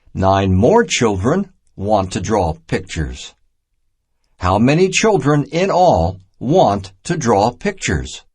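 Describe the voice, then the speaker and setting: Slow, husky man reading a children's lesson. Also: mid-range voice, a little mellow. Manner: deliberate, short separated phrases. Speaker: narrator of a children's math storybook. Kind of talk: scripted read-aloud narration, simple and instructional.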